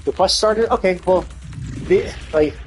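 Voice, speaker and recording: A man's voice: high-pitched, unhurried, soft background music. A man, live streaming casual game commentary in an informal, profane, explanatory and opinionated way.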